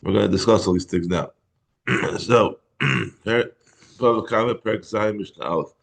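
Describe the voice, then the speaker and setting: A hoarse middle-aged man teaching a Talmud class in a halting way, often clearing his throat. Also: lowish voice, slight background noise. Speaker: Torah teacher.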